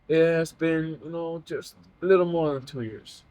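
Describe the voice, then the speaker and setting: Man, eighteen, from Iceland, slightly high pitch, brief plain answers. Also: steady tone, moderate pace, darkish tone, poor audio. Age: teens. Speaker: interview guest.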